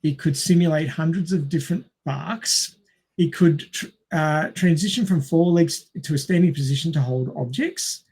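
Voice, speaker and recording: A man's voice: a little high, normal speed, a little mellow, husky. A man with an Australian accent hosting a casual quiz among friends, reading out questions and chatting. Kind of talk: casual group quiz chat.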